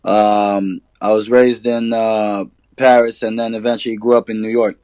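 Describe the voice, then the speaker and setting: A man from Sudan, a rapper guest, talking in a relaxed, casual way with hip hop slang. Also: lowish voice, normal speed, clear, resonant tone, no echo. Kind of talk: casual interview chat.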